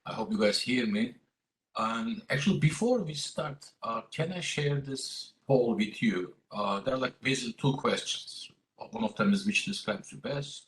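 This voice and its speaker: Turkish-accented man, low voice, echoey call. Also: a bit bright. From Turkey. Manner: informal, many fillers and restarts. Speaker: graduate program director. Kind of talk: presenting at a virtual open house. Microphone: video call.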